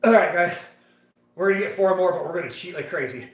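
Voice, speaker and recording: A man's voice: high-pitched, fast, echoey room. A man in his late thirties hosting a casual fitness livestream, chatting and hyping up viewers between sets in a gym. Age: 30s. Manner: chatty, hyped, talks to viewers in the chat. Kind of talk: casual live-stream chat while working out.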